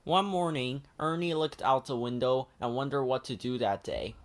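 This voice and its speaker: A man narrating a children's picture book as an expressive read-aloud. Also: mid-range voice, normal speed. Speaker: children's story reader. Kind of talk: expressive scripted storybook narration.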